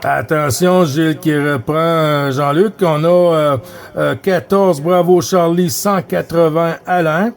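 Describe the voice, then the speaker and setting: A man from Quebec talks in a Québécois accent as a CB radio operator. His voice sits high for a man, and he pauses often with filler sounds while passing the turn to other stations. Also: steady tone, vocal fry. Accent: Québécois French. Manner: hesitant, frequent filler sounds, friendly sign-offs. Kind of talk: casual radio contact chat.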